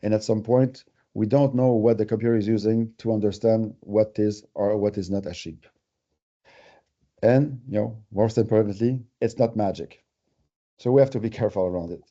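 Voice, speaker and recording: Man, French Canadian accent, native French speaker, lowish voice, explaining things casually on a webinar, muffled lo-fi sound. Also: moderate pace, dark tone. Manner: conversational, explanatory, uses everyday examples. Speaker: company presenter. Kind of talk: webinar presentation.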